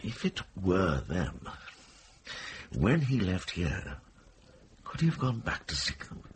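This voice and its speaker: An actor playing a shrewd, authoritative monk healer in a period radio drama, briskly questioning and giving orders. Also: male voice, deep voice, sing-song, a little hiss or hum, heavily acted. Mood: probing, urgent. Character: shrewd medieval monk healer and investigator, commanding.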